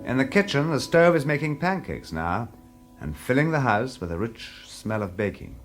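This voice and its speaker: A man narrating a scripted story in a measured, theatrical manner, with deliberate pauses. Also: mid-range voice, big pitch swings. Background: music.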